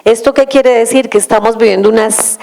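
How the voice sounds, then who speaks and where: A woman from Colombia, giving a measured, explanatory conference lecture with frequent hesitations. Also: a little high, fairly even intonation, creaky, a little mellow. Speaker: invited lecturer.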